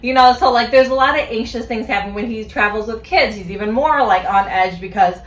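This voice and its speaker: A woman's voice: fairly high pitch, a little fast. Chatty swearing woman in a roomy space. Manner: chatty, swears freely, lots of fillers and laughter. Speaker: podcast co-host. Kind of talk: casual podcast chat. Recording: echoey room.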